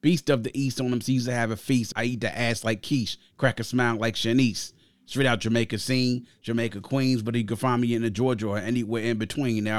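A man's voice: mid-range voice, dry recording. A man from Grenada hosting a casual music review, speaking emphatically and repeating phrases for stress. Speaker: podcast host and album reviewer.

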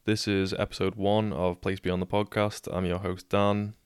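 Scouse podcast host in his twenties, deadpan monotone, crisp dry close sound. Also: low voice, moderate pace, dry recording. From Liverpool, England. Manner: monotone, sweary, trips over words. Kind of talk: casual solo podcast storytelling.

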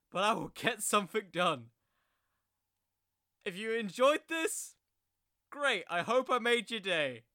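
A man's voice: very high-pitched, sharp tone, smooth, no room sound, clean studio sound. A man hosting a podcast, speaking in a theatrical, hammy storytelling manner. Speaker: podcast host. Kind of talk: theatrical fantasy storytelling about pro wrestling, then casual rambling.

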